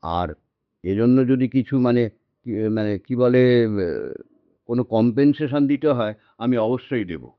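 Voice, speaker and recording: A man's voice: mid-range voice, expressive, a little slow, long pauses, no room sound. An actor playing an anxious, lonely old man in a radio drama, speaking hesitantly and repeating his words. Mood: hesitant, uneasy. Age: older. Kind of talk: Bengali radio drama acting. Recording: dull lo-fi audio with background music.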